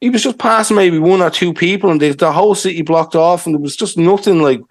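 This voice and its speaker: A man's voice: high-pitched, fast talker, creaky. A young man with a Dublin accent, chatting casually as a podcast co-host and rambling with lots of fillers. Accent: Irish, Dublin. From Ireland. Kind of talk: casual history chat.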